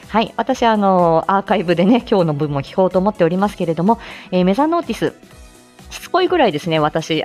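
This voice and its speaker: A woman's voice: medium pitch, a little mellow. A woman hosting a live morning audio show, chatting casually and reading out announcements in a bubbly manner that moves between cute and polite.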